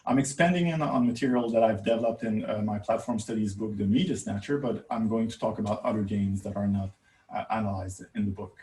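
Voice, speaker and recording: A man's voice: on the low side, brisk, warm tone. Echoey, distant-miked man giving a talk. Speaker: academic researcher presenting a paper. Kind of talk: conference talk. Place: indoor room, recorded for a virtual conference. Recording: roomy echo, distant microphone.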